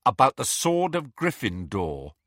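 A determined teenage boy hero , played by a man from a storybook. He is guarded, direct and urgent, with a bright, lively delivery. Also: medium pitch, unhurried, dry recording, clean studio sound, exaggerated voice. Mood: insistent.

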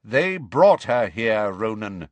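A man voicing a proud, stern male centaur in a storybook, snarling and deliberate. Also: lowish voice, sing-song, dry recording. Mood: grim.

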